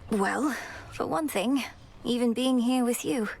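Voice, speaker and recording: An actress playing a poised young goddess princess in a video game, formal and composed, earnest and guardedly fond. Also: female voice, fairly high pitch, measured pace, vocal fry, darkish tone, slight background noise, low-quality recording. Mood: candid, tender. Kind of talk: scripted video game romance dialogue.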